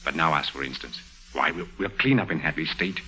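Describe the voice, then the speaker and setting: An actor playing a ruthless, smugly scheming racketeer, commanding and smooth-talking, in a 1950s radio crime drama. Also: male voice, rumbling low, fairly quick, sharp tone, exaggerated voice, low-quality recording. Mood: persuasive.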